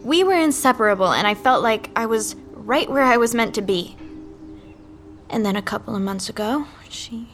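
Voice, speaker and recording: A woman's voice: fairly high pitch, lively intonation, moderate pace, a bit bright, soft background music. A woman playing a guarded, wounded teenage academy student in an audio drama, earnest and confessional. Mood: hurt, confiding. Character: guarded, wounded teenage academy girl recalling her lost friend.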